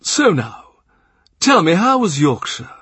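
Guy doing a silky high old cardinal, teasing and grand, lots of swoops. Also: very animated, takes it slowly, fairly bright tone, slightly rough, no echo, heavily acted. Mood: honeyed. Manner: theatrical, high and coaxing, mock-lamenting. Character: affable, teasing older male cardinal, honeyed and grand.

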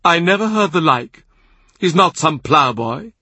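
A man voicing a husky, booming imperious cardinal. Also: expressive, a little slow, dry recording, full character voice. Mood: indignant. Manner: forceful, imperious, sarcastic. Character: domineering, blustering powerful male cardinal.

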